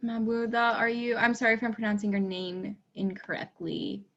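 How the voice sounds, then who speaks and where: A woman's voice: a little high, fairly even intonation, normal speed, fairly bright tone, dull audio. A woman moderating a video call breakout room, encouraging and friendly, with frequent ums.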